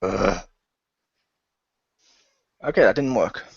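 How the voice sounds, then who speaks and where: A man's voice: on the low side, expressive, slow, gravelly, husky, no room sound. A man narrating action in an improvised, amateur radio play and slipping into character lines. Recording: dull lo-fi voice recording.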